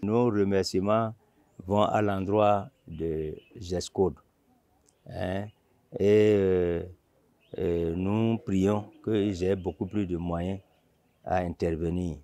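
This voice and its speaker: A man's voice: low-pitched, fairly even intonation, slow, warm tone, no room sound. A man, a mayor, makes a formal interview statement.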